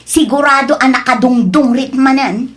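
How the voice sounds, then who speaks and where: A woman playing a demanding, selfish mistress in an Ilocano radio drama, coaxing and haughty, quick to snap. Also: high-pitched, close to an everyday voice. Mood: defiant.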